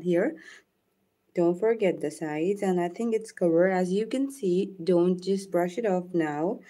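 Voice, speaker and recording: A woman whose first language is Urdu, gently talking viewers through a nail art demonstration and thanking them by name. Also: lowish voice. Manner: soft-spoken, unhurried, thanks viewers by name. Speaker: nail art tutorial host. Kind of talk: live nail art demonstration with chat shout-outs.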